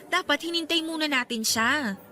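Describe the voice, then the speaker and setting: High-voiced, bashful Filipino girlfriend. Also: moderate pace, bright, forceful tone. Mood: surprised, chiding. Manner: polite, bashful. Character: young girlfriend, bashful, polite, gently chiding. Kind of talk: radio drama acting.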